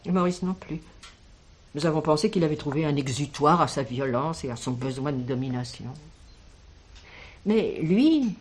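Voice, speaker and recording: An actress playing an older village schoolteacher in a French radio drama, kindly and protective, speaking in a measured, expressive way. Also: female voice, sits low, slightly rough. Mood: reminiscing.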